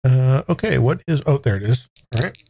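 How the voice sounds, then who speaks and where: A man playing a tabletop game, casually describing his character's actions in a deliberate, wordy, hesitant way. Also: mid-range voice, expressive, vocal fry, dark tone, slight background noise.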